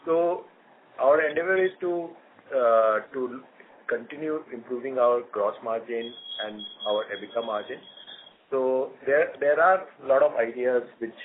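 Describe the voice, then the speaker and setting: A man's voice: medium pitch, unhurried. A man with an Indian accent explaining things to analysts as a company executive on an earnings call. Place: conference phone call. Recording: tinny phone line with clear noise.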